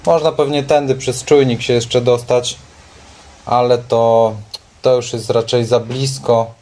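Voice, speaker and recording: A man's voice: medium pitch, level tone, a little slow, darkish tone. A young man, a car mechanic vlogger, chatting frankly and casually while he works on an engine in an open garage. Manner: chatty, frank, self-deprecating. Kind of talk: casual how-to commentary. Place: open home garage, rain outside.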